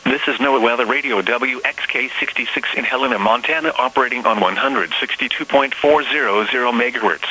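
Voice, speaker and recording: A man's voice: mid-range voice, brisk, hardly any pauses, pushed and bright, slightly rough. A man reading formal, impersonal scripted announcements for an emergency radio broadcast. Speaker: weather radio and alert announcer. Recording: noisy radio broadcast with hum.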